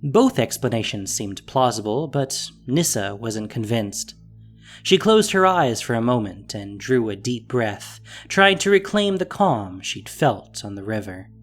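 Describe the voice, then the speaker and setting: A man's voice: medium pitch, sing-song, unhurried. A man reading scripted fantasy story narration as the narrator of an audio drama. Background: music.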